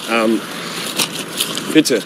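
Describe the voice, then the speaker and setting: A man in his twenties playing a polite young man hunting for a flat, with traffic audible behind him. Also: medium pitch, very slow. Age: 20s. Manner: polite, lively intonation. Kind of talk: scripted textbook dialogue. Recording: street traffic noise behind. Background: car traffic.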